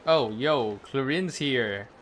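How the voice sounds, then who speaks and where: A man's voice: medium pitch, takes it slowly, fairly bright tone, slight background noise. A man live streaming a video game, reading its text aloud and commenting casually.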